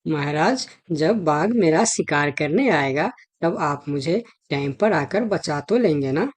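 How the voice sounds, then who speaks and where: Woman voicing a low-pitched little cartoon animal. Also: animated, unhurried. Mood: anxious, deferential. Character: small polite talking forest animal: fox, rabbit, monkey. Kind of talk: Hindi children's cartoon dialogue.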